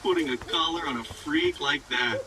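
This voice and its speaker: An actor playing a crude, mocking mercenary who is itching for trouble in a video game. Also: male voice, on the high side, normal speed, distant mic, noisy background, lightly acted.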